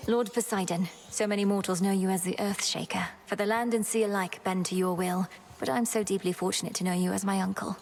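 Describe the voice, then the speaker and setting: An actress playing an earnest, resolute young goddess in a video game, speaking in a formal, measured way. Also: female voice, medium pitch, steady tone, darkish tone, natural voice. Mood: earnest, grateful. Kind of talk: scripted video game character acting.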